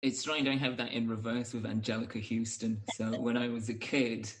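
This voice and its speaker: A man's voice: medium pitch, level tone, normal speed, rough recording. A man, hosting a podcast and chatting casually about films. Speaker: podcast host. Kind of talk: casual film discussion.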